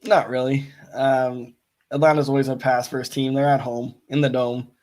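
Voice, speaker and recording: A young man with a touch of rasp reads out sports news in a level, matter-of-fact way, dropping plenty of "um"s. His pitch barely moves, staying flat at a medium height. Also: normal speed. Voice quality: a bit raspy. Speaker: co-host. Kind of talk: casual fantasy football chat.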